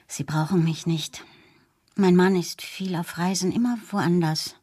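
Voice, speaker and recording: An actress playing a frail, withdrawn middle-aged mother in a radio drama, speaking softly, wistfully and hesitantly. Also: fairly low pitch, fairly even intonation, a little slow, breathy edge, dry recording, studio quality. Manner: soft, wistful, hesitant, near whispering at times.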